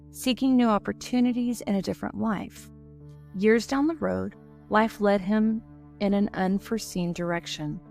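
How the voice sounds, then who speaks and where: Slow, gravelly-voiced woman narrating true crime. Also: medium pitch, warm tone. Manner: slow, measured, somber reading. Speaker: podcast co-host.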